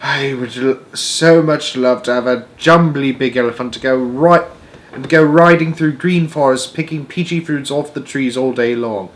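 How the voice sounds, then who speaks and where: A man voicing a gentle, wistful storybook giant with muddled, ungrammatical folksy speech. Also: mid-range voice, normal speed, pitched above the performer's own voice, close to an everyday voice. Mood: dreamy. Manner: ungrammatical, jumbled made-up words.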